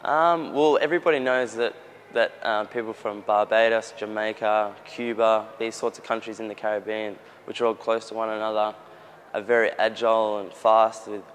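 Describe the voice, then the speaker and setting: A man's voice: fairly low pitch, steady tone, normal speed, a little hiss or hum. A young Australian man from Melbourne answers interview questions earnestly, often repeating words as he searches for phrasing. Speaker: young footballer. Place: outdoors near a gym with traffic.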